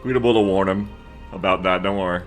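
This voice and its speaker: A man's voice: deep voice, steady tone, fairly quick. A man streaming games, chatting casually and jokingly, swearing freely. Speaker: game streamer. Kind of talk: casual stream chat about a game. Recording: music behind the voice. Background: music.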